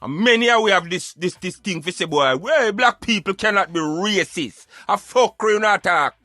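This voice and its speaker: A man's voice: sits high, expressive, moderate pace, pushed and bright, no echo, soft background music. A man speaking Jamaican Patois in an emphatic, argumentative, preaching way, as in opinionated talk show commentary. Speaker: radio show host.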